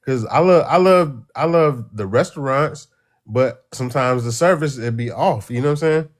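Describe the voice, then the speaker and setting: Man caller talking in halting restarts. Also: medium pitch. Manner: halting, many restarts and fillers, earnest. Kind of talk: casual opinion on a call.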